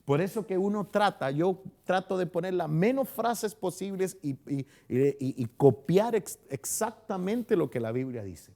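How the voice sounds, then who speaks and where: Chilean male pastor, higher gravelly voice, loose chatty Bible-study delivery. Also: animated, a little slow, hardly any pauses, mellow. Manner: chatty, asks the congregation questions, frequent restarts. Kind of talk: conversational Bible study teaching. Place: church hall.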